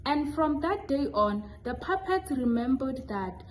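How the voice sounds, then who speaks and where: A woman's voice: high voice, animated, unhurried. A young adult woman giving scripted narration for a children's puppet show.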